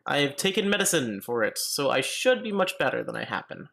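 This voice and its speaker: A sick, slightly hoarse man rambling through casual commentary on a gaming livestream, full of fillers and sudden outbursts. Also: medium pitch, sing-song, a little fast, sharp tone.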